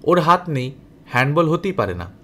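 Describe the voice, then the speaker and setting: A man's voice: fairly high pitch, sing-song, normal speed, fairly bright tone. A man voicing a confident, bossy young boy who leads his team, in an emphatic storybook reading. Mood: arguing.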